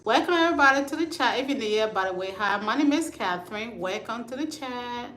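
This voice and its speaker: A woman's voice: fairly high pitch, expressive, fairly quick, fairly bright tone, roomy sound, slight background noise. A woman from Liberia, hosting a casual livestream chat and answering viewer comments. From Liberia, West Africa. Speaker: livestream host. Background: storm and rain outside.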